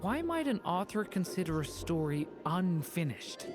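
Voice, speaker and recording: A woman playing an earnest, gentle young adventurer in an anime-style video game, speaking politely and thoughtfully. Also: on the low side, big pitch swings, normal speed. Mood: polite, curious. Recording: game music playing behind.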